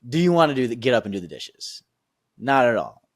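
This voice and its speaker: A man's voice: medium pitch, big pitch swings, brisk, no echo, clean studio sound. A man, a sales and performance coach on a podcast, explaining steps with energy and often checking in with "right?" and "okay?". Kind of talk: casual teaching explanation.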